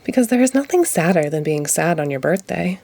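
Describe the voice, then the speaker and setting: A woman's voice: lowish voice, animated, fairly quick, mellow, no echo. Woman reading a children's storybook aloud. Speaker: storybook reader. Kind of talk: children's read-aloud narration.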